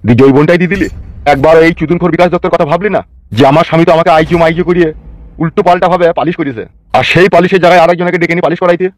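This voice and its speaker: Guy doing a angry crooked politician gangster, talks super fast, crude scolding cartoon voice, bit high. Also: fairly even intonation, no echo, close to an everyday voice. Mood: accusing. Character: furious foul-mouthed gangster politician back from feigned death. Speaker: cartoon voice actor. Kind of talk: amateur comedy cartoon dialogue.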